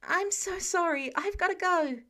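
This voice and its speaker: A woman reader puts on an eager teenage boy hero for an audiobook. The voice is pitched high, polite and dutiful. It is character dialogue within a story reading. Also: unhurried, pushed and bright, exaggerated voice. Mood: hurried, apologetic.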